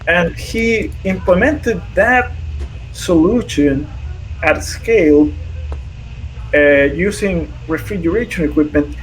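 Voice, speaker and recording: A man explaining something on a livestream in a halting way, often checking in with "right?" and filling gaps with uh. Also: high-pitched, soft background music, low-quality recording. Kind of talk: casual explanatory talk.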